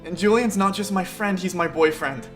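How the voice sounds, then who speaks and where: Man acting nervous student, earnest, highish young male voice, drama dialogue, music behind. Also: moderate pace, close to an everyday voice. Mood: earnest, vulnerable.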